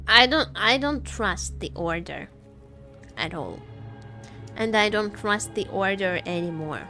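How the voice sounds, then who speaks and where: A woman's voice: mid-range voice, animated, a little slow, sharp tone. A woman streaming a story game with casual, playful, teasing live commentary over game music.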